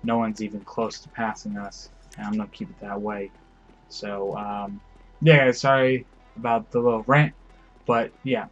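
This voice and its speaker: Hoarse young man rambling over music. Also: medium pitch, fairly bright tone, dull audio. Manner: rambling, many fillers, swears, pleading. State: losing his voice. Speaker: crew leader giving rules. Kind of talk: casual rambling talk over gameplay.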